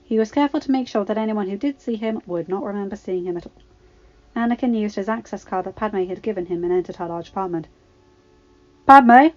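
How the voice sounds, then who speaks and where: A woman reading scripted fiction aloud as an expressive story narrator. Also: mid-range voice, quick pace, muffled recording. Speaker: podfic narrator.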